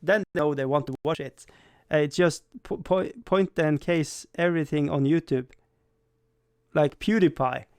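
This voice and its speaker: A man's voice: a little high, moderate pace, darkish tone, clean tone, no echo. Rambling man, dry close sound. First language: Swedish. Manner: rambling, self-deprecating, frequent stammered restarts and laughs. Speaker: livestream host. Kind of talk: casual livestream chat.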